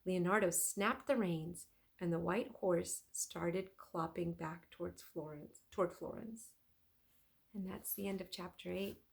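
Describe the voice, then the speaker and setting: A woman reading a children's story aloud as a teacher would, expressive and addressing young listeners. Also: fairly low pitch, measured pace, creaky.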